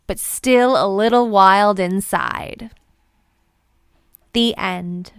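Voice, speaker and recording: A woman's voice: medium pitch, drawn-out words, fairly bright tone, clear, resonant tone, no room sound, clean studio sound. A woman narrating a children's storybook expressively, with playful, dramatic emphasis. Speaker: children's book read-aloud presenter.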